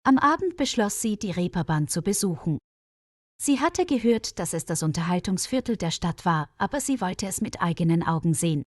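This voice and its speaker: A woman reading a scripted audio story for language learners in a measured, clearly phrased storytelling manner. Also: on the low side, big pitch swings, dry recording, clean studio sound. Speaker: narrator of German-learning stories.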